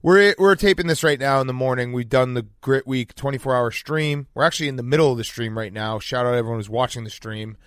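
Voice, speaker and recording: A man's voice: mid-range voice, fast, few pauses, a bit bright, clean tone, no room sound, clean studio sound. A sleep-deprived man hosting a podcast with casual, blunt, slangy sports banter and joking. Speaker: podcast host.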